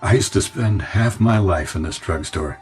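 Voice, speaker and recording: An American actor playing a middle-aged, weary and wistful executive in a radio drama, talking in a hesitant, musing way. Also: male voice, sits low, normal speed, a little mellow, slightly rough, faint music behind. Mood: nostalgic wonder. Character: weary, wistful ad executive fleeing city pressures.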